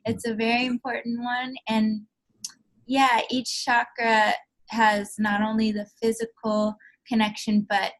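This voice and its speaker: Woman, soft-spoken, gentle, unhurried, higher pitched, podcast guest. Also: level tone, rough recording. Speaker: sound healing therapist guest. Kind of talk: conversational interview answers. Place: podcast recording.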